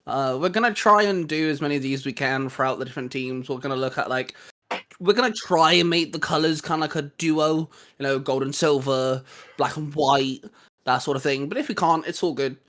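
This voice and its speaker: A man's voice: fairly high pitch, a little fast, a bit bright. A man hosting a hobby video, talking informally as he presents and chats. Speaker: host. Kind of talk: casual scripted intro and chat.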